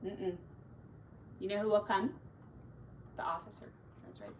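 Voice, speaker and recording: A woman's voice: mid-range voice, fairly even intonation, a little slow, a little mellow. A woman teacher reading a children's story aloud expressively, stretching words and adding folksy, chatty asides for young listeners. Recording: distant mic with faint background noise.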